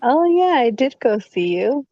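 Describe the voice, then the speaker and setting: A woman in her late thirties co-hosting a casual livestream, easygoing and quick to laugh. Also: high voice, sing-song, dry recording, lo-fi sound. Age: 30s. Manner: laughs often, easygoing, unhurried. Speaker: livestream co-host.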